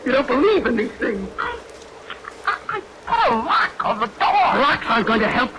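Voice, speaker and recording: A man's voice: very high-pitched, big pitch swings, normal speed. An actor playing a terrified, stammering, pleading man in a vintage radio play. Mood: hysterical terror. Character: terrified, hysterical man haunted by a skull. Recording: noisy vintage radio recording, loud rain. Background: loud rain.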